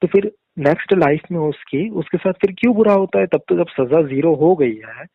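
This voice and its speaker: A man's voice: fairly high pitch, animated, moderate pace, gravelly, husky, no echo. A man calling in for a casual phone conversation, speaking agreeably with repeated affirmations and somewhat rambling phrasing. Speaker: caller. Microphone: phone call. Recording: muffled narrow-band phone call recording.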